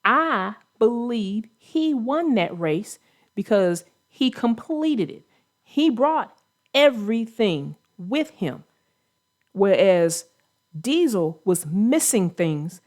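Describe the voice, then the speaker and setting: A woman's voice: medium pitch, sing-song, slow. A woman hosting a casual discussion of a children's story for young listeners, speaking in an earnest, emphatic way with a moral point. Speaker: host and children's book narrator.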